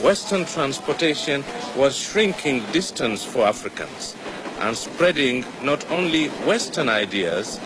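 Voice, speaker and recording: An older Kenyan man, whose first language is Swahili, tells a documentary story in a calm, measured voice. His pitch sits in an ordinary middle range. A steady layer of background noise runs under the recording. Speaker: documentary presenter. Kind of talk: scripted documentary narration.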